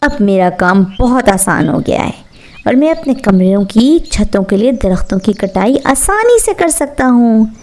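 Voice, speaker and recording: A self-serving villager from a moral tale for children, played by a woman. The voice is on the high side and mellow in tone. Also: sing-song, unhurried. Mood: pleased. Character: village man building a house, self-serving.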